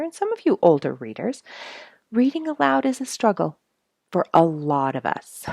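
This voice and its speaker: A woman with a low voice hosts a children's read-aloud. Her intonation is very animated, with an encouraging way of addressing young listeners. The sound is dry, with little room around it. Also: vocal fry, no room sound. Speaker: story reader and host. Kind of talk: read-aloud children's storybook with casual asides.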